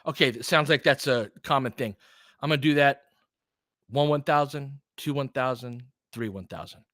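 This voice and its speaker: A man's voice: mid-range voice, moderate pace, a bit bright, no room sound. Man calling basketball, close dry sound. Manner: informal, conversational, speaking to viewers directly. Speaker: livestream host and play-by-play commentator. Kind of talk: casual live basketball commentary mixed with chat to viewers.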